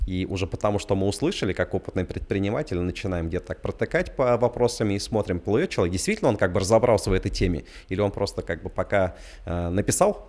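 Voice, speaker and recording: Very low-voiced man explaining in an interview. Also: a little mellow. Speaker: competition judge, entrepreneur. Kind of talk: spontaneous interview answer.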